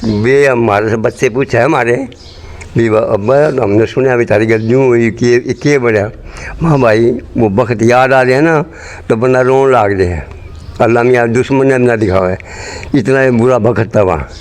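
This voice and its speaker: A man's voice: medium pitch, normal speed, darkish tone. A very old man with a Haryanvi accent, telling memories in a blunt, earthy, rambling way in an interview. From Rohtak, Haryana, pre-partition India. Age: 80s or older. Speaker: interviewee, partition migrant. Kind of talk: spoken reminiscence in interview. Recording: clearly noisy location sound.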